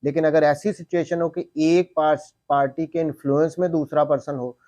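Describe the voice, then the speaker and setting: A man's voice: fairly high pitch, level tone, normal speed, darkish tone, no room sound. A man with a Pakistani accent lecturing on law, reading out formal text and explaining it step by step with repeated, rephrased points.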